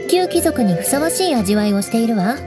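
A woman's voice: a little high, very animated, brisk, loud music behind the voice. A woman playing a proud, prickly young noblewoman knight in an anime game, composed and haughty. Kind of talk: Japanese anime game voice acting.

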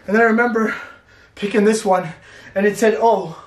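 A young man vlogging a staged paranormal challenge in a dark abandoned building at night, hoarse and panicked, talking in shaken, hushed bursts. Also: high voice, steady tone, normal speed, reverberant. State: hoarse, complaining of a sore throat.

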